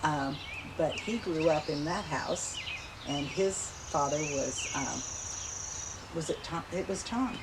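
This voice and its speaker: A woman in her seventies warmly recounting family memories in a relaxed, chatty interview. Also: sits low, takes it slowly. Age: 70s. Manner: chatty, reminiscing, laughs easily. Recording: outdoor noise with insects and birds. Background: insects and birds.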